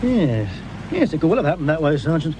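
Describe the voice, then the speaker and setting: An actor playing a weary police doctor, clipped and cautious in a radio drama. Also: male voice, a little high, sing-song, brisk, background noise. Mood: considering.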